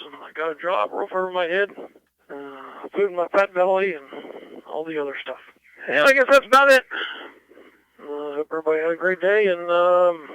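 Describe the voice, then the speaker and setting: A man giving a casual, halting check-in over amateur radio. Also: fairly high pitch, clear, resonant tone, no echo. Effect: amateur radio transmission. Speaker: ham radio net participant. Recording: dull amateur radio audio.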